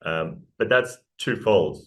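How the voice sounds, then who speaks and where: Deep-voiced New Zealand man. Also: expressive, slow pace, a bit bright. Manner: upbeat, restarts and repeats words. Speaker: mining company CEO. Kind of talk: casual interview pitch.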